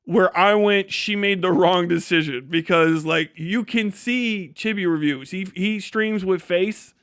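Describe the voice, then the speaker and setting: A man's voice: high-pitched, a little slow, fairly bright tone, clean tone, no echo, clean studio sound. A man doing casual, unscripted livestream commentary, telling stories in a crude, mocking, profanity-heavy way. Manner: laughs often while talking.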